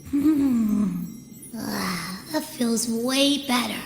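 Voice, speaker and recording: High-pitched cute little creature. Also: female voice, lively intonation, drawn-out words, heavily acted. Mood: bewildered. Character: small cute bewildered companion creature, childlike and excitable. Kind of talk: video game sidekick dialogue. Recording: echoey, distant, faint music behind.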